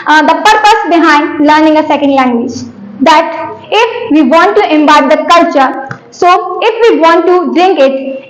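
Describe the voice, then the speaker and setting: A woman's voice: high-pitched, reverberant, low-quality recording. A young Indian woman, a native Hindi speaker, giving a prepared classroom speech with many hesitations and restarts. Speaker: student.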